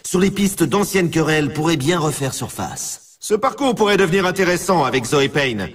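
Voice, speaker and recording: High, husky, hyped race announcer. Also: male voice, expressive, moderate pace, distant mic. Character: hyped male snowboard race announcer, upbeat showman. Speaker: game announcer. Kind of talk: excited sports commentary.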